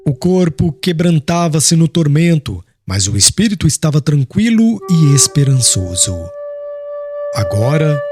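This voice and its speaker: A man with a Brazilian accent reading a scripted audiobook narration in a solemn, measured, dramatic manner. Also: fairly high pitch.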